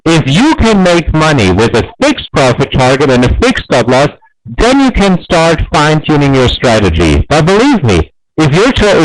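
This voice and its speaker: A man's voice: mid-range voice, low-quality recording. A man with a German accent teaching trading basics in a live webinar, stressing key points and repeating himself, with frequent fillers. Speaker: trading educator.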